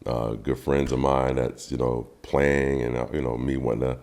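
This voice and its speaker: A young man from New Jersey with a very deep voice, talking easily about his drumming as a podcast guest. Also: normal speed. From Trenton, New Jersey. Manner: relaxed, easygoing, many fillers. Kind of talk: casual interview talk.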